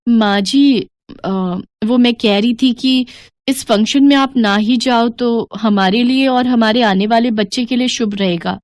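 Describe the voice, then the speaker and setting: A haughty young daughter-in-law from a family drama tale, put on by a woman narrator. Her voice is raised in pitch and emphatic, with insistent, sugary disdain and a dry, close sound. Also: measured pace, a little mellow, dry recording, natural voice. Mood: insistent, cold.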